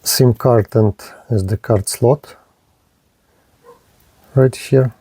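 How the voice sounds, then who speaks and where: A man narrating a product review and unboxing in short, halting, plain phrases. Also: mid-range voice, dark tone, husky, dry recording. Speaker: gadget reviewer.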